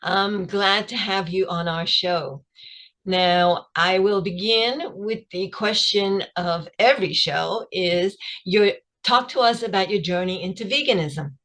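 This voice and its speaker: Woman podcast host, measured delivery. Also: medium pitch, a bit bright. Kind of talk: scripted intro then interview questions.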